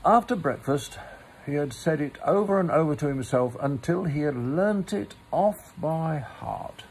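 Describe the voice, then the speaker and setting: A man narrates a children's book in a deliberate, theatrical way. His delivery is slow and gentle with a gravelly creak, and there is some noise in the background. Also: a little high, lively intonation, poor audio. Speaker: storyteller reading a children's book aloud. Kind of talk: expressive storybook narration.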